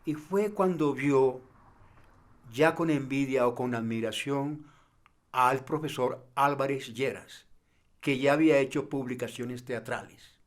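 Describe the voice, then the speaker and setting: A man giving measured explanatory commentary in formal, deliberate phrases. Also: medium pitch. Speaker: commentator on a playwright's work.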